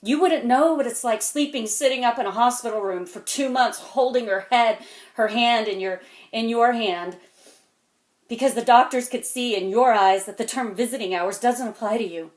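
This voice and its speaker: A woman performing a dramatic monologue as a weary, wounded, stern mentor, speaking deliberately and intensely, moving between hushed and forceful. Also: on the low side, natural voice. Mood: impassioned. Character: weary, wounded, stern mentor confronting a cocky young genius. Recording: echoey room.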